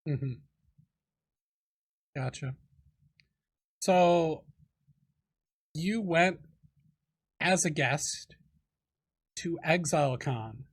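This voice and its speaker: A man hosting a casual podcast interview, easygoing, with a lower voice and quick affirmations. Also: husky. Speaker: podcast host.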